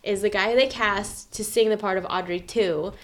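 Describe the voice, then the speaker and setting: A woman's voice: medium pitch, steady tone, normal speed. A woman co-hosting a casual podcast chat, talking at length and explaining eagerly with lots of fillers. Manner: chatty, explains at length with many likes. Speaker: podcast co-host. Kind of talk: casual chat over cocktails.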